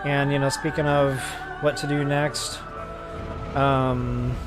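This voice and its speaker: A man's voice: mid-range voice, little pitch movement, slow pace. A man talking casually to his viewers as a game livestreamer, chatty and informal. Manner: chatty, addresses viewers as guys and y'all. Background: game music.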